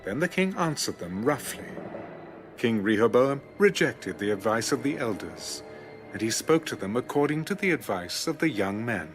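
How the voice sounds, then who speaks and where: A man gives a solemn, dramatic scripture narration. His mid-pitched voice moves expressively with measured storytelling, and clear music plays behind. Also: big pitch swings. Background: background music.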